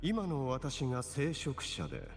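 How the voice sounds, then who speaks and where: Theatrical, smug villain in reverb. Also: male voice, mid-range voice, huge pitch swings, normal speed, gravelly, slight background noise. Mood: smug, mocking. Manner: mocking, theatrical, composed. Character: smug male villain, a shapeshifting cleric. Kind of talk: Japanese game voice acting. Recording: long hall reverb, game music behind. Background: game music.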